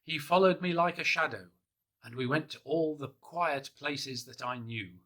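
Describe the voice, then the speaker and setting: A man gently reading a children's picture book aloud in the first person, in a soft, hushed and unhurried way. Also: fairly high pitch. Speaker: storybook reader.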